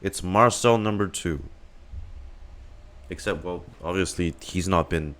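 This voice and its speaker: A man's voice: deep voice, a little slow, a bit bright. A man streaming a video game, giving casual, exasperated reaction commentary full of swearing and muttered asides.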